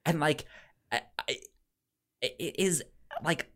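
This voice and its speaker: Podcast co-host, mid-pitched voice, frequent stutter, halting and hesitant, casual film chat. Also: a bit bright. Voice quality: frequent stutter, repeating sounds and syllables.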